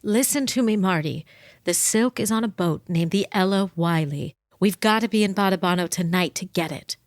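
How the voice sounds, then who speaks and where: An actress playing a nervous, conniving dame in a noir comedy radio drama. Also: female voice, on the low side, normal speed, no room sound, studio quality, lightly acted. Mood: urgent. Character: anxious, scheming noir femme fatale.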